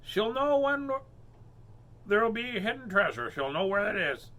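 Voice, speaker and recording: A man doing a shrill, squawking pet parrot. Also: very animated, measured pace, bright, forceful tone, heavily acted. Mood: eager. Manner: repetitive, pestering.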